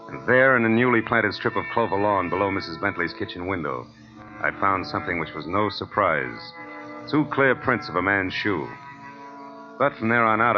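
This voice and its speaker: An actor plays a world-weary detective who narrates in a low, dry drawl. Music runs under the dull, dated radio sound. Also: male voice, close to an everyday voice. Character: hard-boiled private detective, wry and laconic. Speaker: narrator and lead. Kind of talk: 1940s radio acting. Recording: old radio broadcast with music.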